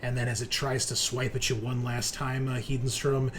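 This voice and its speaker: A man's voice: medium pitch, little pitch movement, normal speed, a little hiss or hum. A man running a tabletop roleplaying game as game master, narrating action and ruling on dice rolls. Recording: music playing behind the voice. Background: music.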